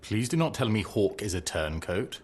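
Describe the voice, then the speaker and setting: An actor playing an aloof, authoritative Victorian gentleman in a video game, British, with clipped, formal, commanding speech. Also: on the low side, very animated, moderate pace. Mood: stern, secretive. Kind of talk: video game cutscene acting. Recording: clear background ambient noise.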